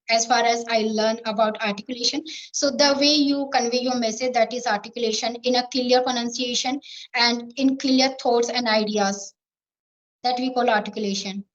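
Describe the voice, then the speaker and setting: A woman's voice: on the high side, steady tone, normal speed, lo-fi sound. A woman with an Indian English accent answering interview questions in earnest, repetitive phrasing with fillers. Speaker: English learner being interviewed. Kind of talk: spoken English practice interview.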